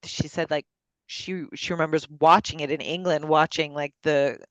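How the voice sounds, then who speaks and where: A woman's voice: low-pitched, steady tone, normal speed, no room sound. A woman hosting a virtual book club over a video call, chatty and full of fillers and restarts. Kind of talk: casual group discussion. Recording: dull lo-fi call audio.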